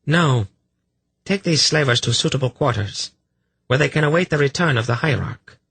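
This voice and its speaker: Man voicing a cruel, husky, imperious priestess. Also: mid-range voice, animated, moderate pace, fairly bright tone, dry recording, voice pushed up, exaggerated voice. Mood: coldly commanding. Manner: cold, menacing, sarcastic questioning. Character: cruel, imperious, suspicious scarred high priestess. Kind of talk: fantasy audiobook character acting.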